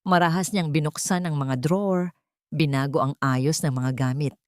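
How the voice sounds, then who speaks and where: A woman's voice: low voice, fairly even intonation, normal speed, dry recording, clean studio sound. A woman storyteller reading a scripted dramatic story.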